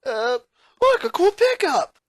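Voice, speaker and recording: A man's voice: very high-pitched, sing-song, takes it slowly, dry recording, clean studio sound, voice pushed up, exaggerated voice. A man voicing a put-upon young schoolboy in a fan fiction reading, whiny and exasperated, prone to anxious stammering. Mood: nervous. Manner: whiny, stammering when nervous.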